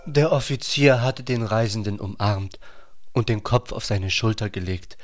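A man's voice: on the low side, moderate pace. A man reading scripted literary narration as the narrator of a radio play.

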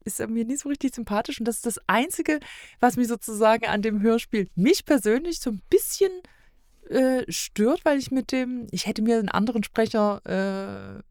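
A woman's voice: a little high, moderate pace, a little mellow, no echo. Woman guest on a podcast, just chatting and reviewing stuff, really dry sound no room. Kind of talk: casual chat, radio play review.

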